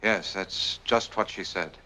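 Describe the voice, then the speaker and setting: A man's voice: low voice, takes it slowly, sharp tone, full character voice, low-quality recording. An actor playing a suspicious, insistent young man in a 1940s film noir, speaking bluntly and with disbelief. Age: young adult. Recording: old 1940s film soundtrack.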